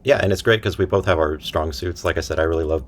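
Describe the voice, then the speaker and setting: A man's voice: sits low, fast, a little hiss or hum. A man talking as a guest in a casual interview, informal and easygoing. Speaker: interview guest, voice actor and writer.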